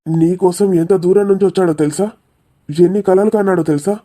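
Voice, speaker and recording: A man's voice: high voice, fairly even intonation, fairly quick, warm tone. A man voicing an indignant, defensive young man arguing earnestly in a cartoon comedy. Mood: reproachful. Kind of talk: dramatic cartoon comedy dialogue.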